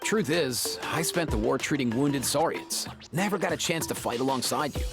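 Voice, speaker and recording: A man's voice: mid-range voice, lively intonation, normal speed, vocal fry, music in the background. A man playing a laid-back, friendly young veterinarian in a video game, talking in breezy dude slang. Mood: grateful.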